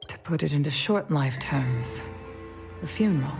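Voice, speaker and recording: A low-voiced, solemn, dignified commander. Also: female voice, lively intonation. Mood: solemn, measured. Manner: formal, measured, solemn. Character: grieving, dignified middle-aged fox-woman commission leader. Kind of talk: scripted video game voice acting. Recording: game music under the voice. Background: game music.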